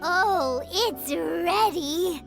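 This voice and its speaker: A woman playing a tiny, chirpy fairy girl companion in a video game, childlike and excitable. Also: very high-pitched, drawn-out words, gravelly, music in the background, exaggerated voice. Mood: excited, proud. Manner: childlike, excitable, refers to herself in third person.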